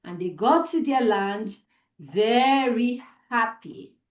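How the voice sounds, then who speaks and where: Nigerian lady doing storytime for kids, super slow and really animated, echoey room. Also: on the high side. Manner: deliberate, expressive, with dramatic pauses and repetition. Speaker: children's storytelling host. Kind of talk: live interactive storytelling for children. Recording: roomy, echoey sound.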